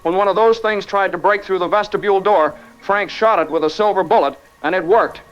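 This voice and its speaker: A male actor plays a shaken survivor phoning a radio show. His voice sits quite high and he tells things earnestly and tensely, as a sensible man would, over a dull lo-fi connection. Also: brisk, a bit bright, faint music behind. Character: shaken but rational man trapped in besieged church. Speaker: phone-in caller. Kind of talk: dramatic eyewitness account, audio drama. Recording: dull, band-limited phone-in sound.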